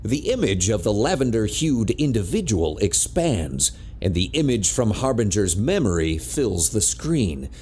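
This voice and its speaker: A man's voice: on the low side, sing-song, unhurried, darkish tone, slight background noise. A man narrating an adventure audio drama in a dramatic, scripted storytelling style.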